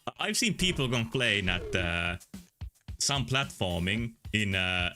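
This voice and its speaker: Man, game streamer, rambling chatty commentary, fairly low voice, crisp bright tone, soft game music behind. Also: sing-song, a little slow. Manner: rambling, thinking aloud with fillers.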